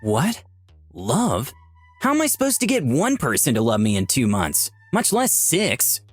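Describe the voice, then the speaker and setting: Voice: mid-range voice, wildly swooping pitch, a little slow, breathy edge, faint music behind, heavily acted. An actor playing a shy, innocent-seeming young man in a visual novel, hesitant and unassuming. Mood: bewildered. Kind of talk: character acting in a visual novel.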